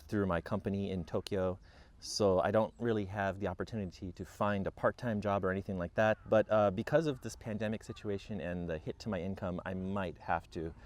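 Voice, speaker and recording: A man in his late thirties who grew up in Japan, chatting casually outdoors as he answers viewer questions, with many ums and restarts. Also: sits low. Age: 30s. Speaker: vlogger. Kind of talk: casual Q&A chat.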